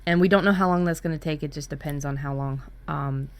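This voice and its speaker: Low-pitched woman co-hosting, casual and blunt. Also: fast, clean tone. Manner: blunt, informal. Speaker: workshop co-host. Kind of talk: casual chat.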